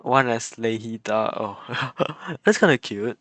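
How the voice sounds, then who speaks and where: A man's voice: medium pitch, animated, slow pace, a bit bright, dry recording. Young guy rambling and laughing on a game stream, mid-range voice. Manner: chatty, rambling, laughs often. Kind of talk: casual live game commentary.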